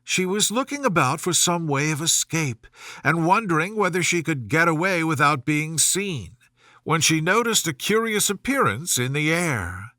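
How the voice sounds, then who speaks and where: A man narrating a children's bedtime story from a script as an expressive storyteller. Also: fairly high pitch, normal speed, dry recording, studio quality.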